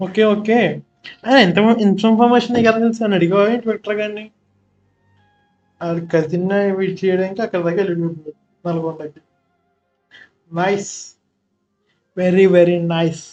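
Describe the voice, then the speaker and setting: A high-voiced man with a Telugu accent chats casually as he streams a game. He talks extremely fast, on a dull, muffled mic with game music behind him. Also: steady tone, a little mellow. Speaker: gaming live streamer. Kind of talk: casual live gameplay commentary.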